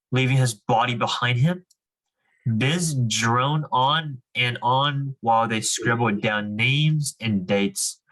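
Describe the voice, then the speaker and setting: A man's voice: medium pitch, a bit bright, low-quality recording. Encouraging man leading a reading club. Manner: encouraging, informal. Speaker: reading club leader and coach. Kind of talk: reading a novel aloud and chatting with students.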